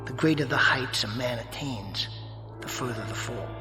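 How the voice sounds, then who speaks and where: An actor playing a calm, sardonic, otherworldly judge in a radio drama, speaking in measured, formal, mocking phrases through heavy reverb. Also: male voice, mid-range voice. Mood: knowing, warning. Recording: long echoing reverb, music behind.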